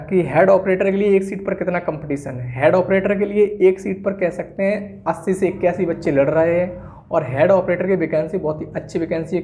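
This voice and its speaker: A man's voice: fairly high pitch, darkish tone. A man presenting an exam-prep explainer, going through it didactically, point by point. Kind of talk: explainer addressed to exam candidates.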